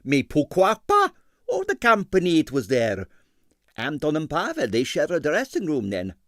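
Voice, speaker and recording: A man's voice: fairly high pitch, huge pitch swings, normal speed, fairly bright tone, dry recording, clean studio sound, pitched above the performer's own voice, exaggerated voice. A man voicing a sentimental, money-minded ballet impresario in a storybook, effusive and theatrical, with a thick foreign accent and broken English. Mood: proud, wistful. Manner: theatrical, effusive, French interjections.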